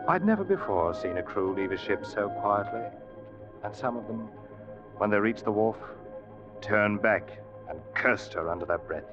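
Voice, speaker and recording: A man's voice: on the low side, animated, normal speed, loud music behind the voice, natural voice. An actor playing a grave, loyal ship's officer telling his story dramatically in an old-time radio drama. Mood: somber. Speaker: radio actor, first-person narrator. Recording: muffled vintage radio recording.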